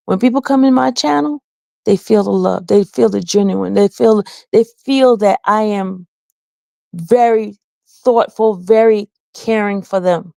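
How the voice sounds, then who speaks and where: A woman streamer speaks from the heart to her viewers, earnest and personal, her voice carrying a gravelly fry at a middle pitch. The sound is dry and close, with no room echo. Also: darkish tone. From Haiti. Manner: earnest, heartfelt, reads chat aloud. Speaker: channel host. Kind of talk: casual livestream talk to viewers.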